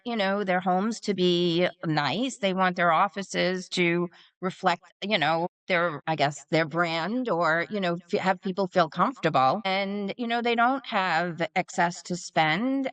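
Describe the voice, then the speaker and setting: Long Island woman, low and far off. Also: unhurried, a bit bright, clean tone. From Long Island, New York. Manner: chatty, many fillers. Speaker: interior designer and therapist guest. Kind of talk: casual panel chat. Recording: voice distant from the mic.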